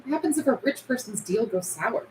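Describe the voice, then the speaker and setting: A woman's voice: fairly high pitch, expressive, brisk, close to an everyday voice, rough recording. A woman playing a naive, curious and playful young female survivor at a script table read. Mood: curious wonder. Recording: clear background noise.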